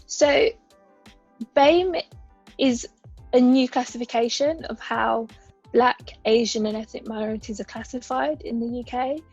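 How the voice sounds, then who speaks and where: Brief: woman, 30s, raised partly in Uganda, husky and fairly high voice, measured explainer, dull lo-fi podcast sound. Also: a little mellow, soft background music. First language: a Ugandan language. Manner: thoughtful, explanatory, lays out examples. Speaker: podcast guest. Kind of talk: conversational interview.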